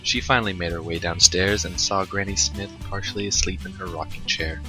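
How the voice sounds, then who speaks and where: Deep, very bright male narrator. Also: steady tone, brisk, faint music behind, low-quality recording. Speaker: co-host and story reader. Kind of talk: scripted fan fiction narration.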